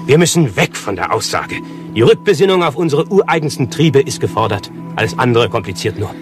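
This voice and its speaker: A man acts out a self-important avant-garde playwright in an absurd radio satire. He is verbose and declamatory, picking out each word precisely in a mid-pitched voice. Music plays clearly behind him. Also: sing-song, brisk, lightly acted. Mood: self-important, enthusiastic. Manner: pompous, long-winded, declamatory, precise diction. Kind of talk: satirical radio play dialogue.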